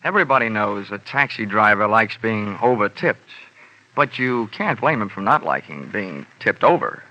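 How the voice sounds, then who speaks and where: An actor playing a wry, wisecracking insurance investigator in an old-time radio detective drama. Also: male voice, fairly low pitch, animated, normal speed, sharp tone. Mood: wry, matter-of-fact. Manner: dry, wisecracking. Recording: dull old radio recording with music.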